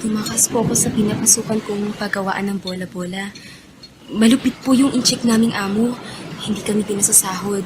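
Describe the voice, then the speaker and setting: A woman's voice: medium pitch, steady tone, a little fast, close to an everyday voice. A woman playing a timid, polite young runaway worker in a radio drama, hesitant and pleading in her delivery. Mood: humble, sorrowful. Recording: clearly noisy radio drama track with ambience.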